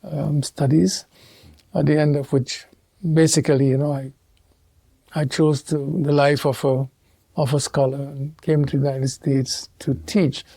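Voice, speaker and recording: A man from Trinidad and Tobago, a theologian speaking as an interview guest in a measured, thoughtful way with pauses and restarts. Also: on the high side, level tone, vocal fry, dark tone, dry recording. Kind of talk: reflective interview conversation.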